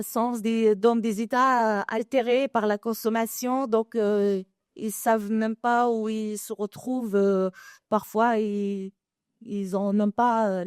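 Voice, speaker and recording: A woman's voice: on the high side, darkish tone, smooth, dry recording, clean studio sound. A woman educator speaking at a panel discussion, hesitant, with many fillers and restarts. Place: conference room.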